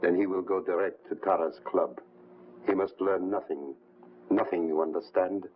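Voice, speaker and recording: An actor playing a cold, domineering crime boss in a 1950s film, giving curt commands. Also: male voice, low-pitched, steady tone, normal speed, a little hiss or hum, close to an everyday voice. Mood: menacing. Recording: muffled old film soundtrack with music.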